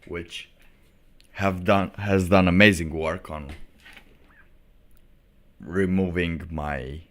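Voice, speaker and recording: A man hosting a livestream in a casual, rambling way, thinking aloud in halting phrases. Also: deep voice, steady tone, fairly bright tone. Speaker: livestream host.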